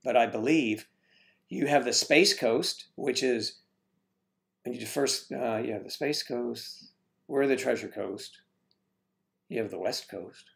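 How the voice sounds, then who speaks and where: A man's voice: mid-range voice, slow, creaky, long pauses. A man hosting a casual livestream from home, rambling as he greets chat viewers and stumbles over their names.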